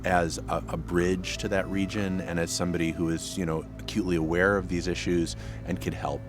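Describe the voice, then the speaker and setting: A man's voice: sits low, level tone, fairly quick. A man with an American accent, a professor speaking off the cuff in an interview. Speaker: history professor. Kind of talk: spontaneous interview talk. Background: guitar music.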